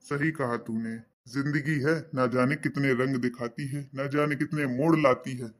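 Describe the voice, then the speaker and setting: A man voicing an elderly, pious, accommodating father in a cartoon, soft-spoken and reasoning. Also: medium pitch, steady tone, moderate pace, close to an everyday voice. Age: older. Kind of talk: cartoon voice acting.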